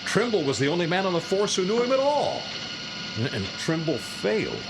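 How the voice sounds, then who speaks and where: A man acts out an excitable police inspector in a radio play. The voice is fairly high and leaps around in pitch. He blusters and stammers, forever flustered. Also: a little fast, vocal fry, noisy background, heavily acted. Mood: worried. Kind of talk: live radio-play acting. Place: public library live performance before audience.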